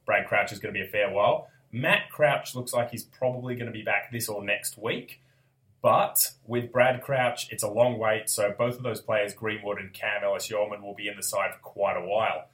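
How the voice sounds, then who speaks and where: A young Australian man hosting a relaxed sports podcast, his voice a little gravelly as he gets over a cold. He talks in a chatty, easygoing way, laughs often and restarts his sentences mid-thought. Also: fairly low pitch, normal speed, low-quality recording. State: recovering from a cold, slightly gravelly. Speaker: podcast host. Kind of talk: casual sports chat.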